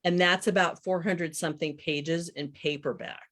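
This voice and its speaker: A woman's voice: sits low, fairly even intonation, normal speed, fairly bright tone. A woman in her fifties speaking as a guest author, chatting casually and explaining things in an interview. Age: 50s.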